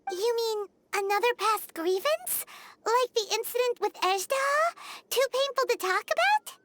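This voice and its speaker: An actress voicing a tiny, chatty and excitable girl companion in a video game, pleading and eager. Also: measured pace, noisy background. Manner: squeaky, pleading, talks about herself in third person. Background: soft game music.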